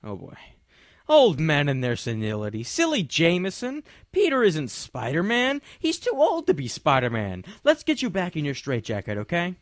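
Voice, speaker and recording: Young guy ranting sarcastically about a comic, bouncy pitch, dry close mic. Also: huge pitch swings, moderate pace, no room sound. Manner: snarky, mocking asides. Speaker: comic reviewer. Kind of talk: sarcastic scripted review.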